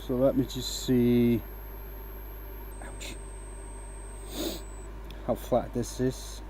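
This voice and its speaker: A man's voice: mid-range voice, drawn-out words, a little hiss or hum, low-quality recording. A man from Denmark with a light, mixed accent hosts a woodturning live stream and talks casually through each step as he works. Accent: light Danish, mostly a mix of English accents picked up online. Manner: relaxed, explains as he works, asks "right?" often. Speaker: woodturning livestream host. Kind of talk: casual live-stream talk while working.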